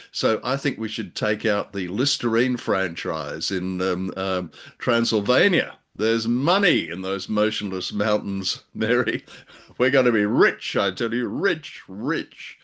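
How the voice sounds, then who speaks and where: An older man hosting a radio show, chatting casually in a deep voice, with a wry, joking and hesitant manner. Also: expressive, a little slow, fairly bright tone. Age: older, about 70s. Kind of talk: casual chat with joking banter. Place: indoors, on a video call.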